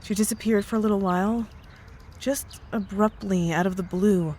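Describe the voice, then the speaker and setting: A woman's voice: mid-range voice, steady tone, moderate pace, a little mellow, lightly acted. A woman playing a concerned coworker in an ASMR roleplay, speaking earnestly, hesitantly and with care. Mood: earnest, worried. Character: worried, caring married female coworker, hesitant and earnest. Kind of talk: ASMR roleplay audio drama.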